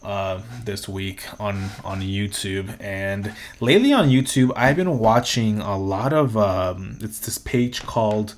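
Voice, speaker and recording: A man's voice: fairly low pitch, expressive, takes it slowly, hardly any pauses. A young man hosting a solo podcast, talking in a casual, rambling way, swearing freely and laughing often. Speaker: podcast host.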